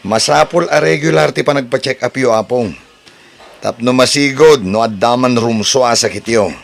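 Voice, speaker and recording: Man playing a creaky-voiced concerned Ilocano caregiver. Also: mid-range voice, normal speed, natural voice. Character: concerned male caregiver advising an old woman. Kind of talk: Ilocano radio drama.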